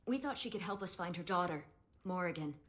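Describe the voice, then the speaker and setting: A woman's voice: lowish voice, animated, a little fast, a bit bright. An actress playing a proud, guarded young elven woman in a fantasy game, speaking earnestly and formally. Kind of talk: scripted fantasy game dialogue.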